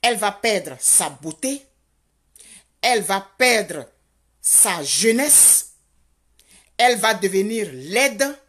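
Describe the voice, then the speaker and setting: Lady going off on a passionate rant, slow, husky, kinda high voice, keeps repeating herself. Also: big pitch swings, fairly bright tone. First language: Godié. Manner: rhetorical questions, insistent repetition, rising to fervent. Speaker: commentator. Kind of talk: impassioned social commentary monologue. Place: Canada.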